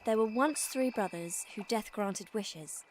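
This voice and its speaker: A woman's voice: medium pitch, a little slow, a little hiss or hum, lightly acted. A young woman with a British accent playing an earnest, exasperated, bookish and bossy teenage witch in a video game cutscene. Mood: solemn storytelling. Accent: British English. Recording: loud background music under voice. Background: music.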